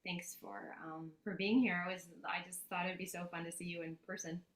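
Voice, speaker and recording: A woman's voice: on the low side, flat delivery, fairly quick. A woman chatting on a video call about her sketchbook, rambling and correcting herself as she goes. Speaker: workshop participant. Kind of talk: casual sharing on a video call. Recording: echoey room on a call.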